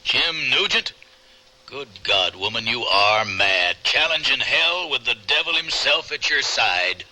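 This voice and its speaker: An actor playing a stern, exasperated gentleman in an old radio drama. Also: medium pitch, lively intonation, a little slow, heavily acted. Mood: aghast. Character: stern, exasperated gentleman warning a reckless woman.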